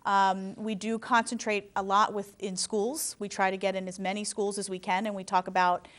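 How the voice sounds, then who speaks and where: A woman's voice: mid-range voice, little pitch movement, moderate pace, a bit bright. A woman guest on a talk show, earnestly explaining a domestic violence program's services. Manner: earnest, informative, some restarts. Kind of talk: interview answers.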